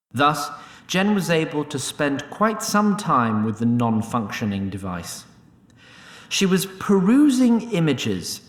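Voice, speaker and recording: A man's voice: a little high, echoey room. A man narrating a scripted fantasy tale in a theatrical, mock-grand and droll storyteller's manner.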